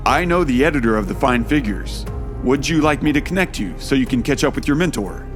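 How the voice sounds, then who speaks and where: A devoted, serious romantic hero, played by a man, speaks in a medium-pitched voice, tender and earnest. Music plays under the audio-drama dialogue. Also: lively intonation, brisk, close to an everyday voice. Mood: attentive. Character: devoted, serious romantic male lead, wealthy suitor.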